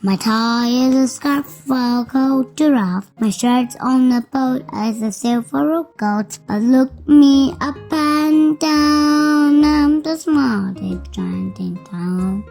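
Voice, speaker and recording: Woman voicing a kind, high-voiced storybook giant. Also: takes it slowly, vocal fry, few pauses, darkish tone, faint music behind, exaggerated voice. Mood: proud, singsong. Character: kind, generous, cheerful male giant.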